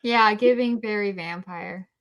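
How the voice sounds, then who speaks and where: A woman's voice: medium pitch, unhurried, a bit bright. A woman co-hosting a casual review chat, blunt and wry with her opinions. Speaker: podcast co-host.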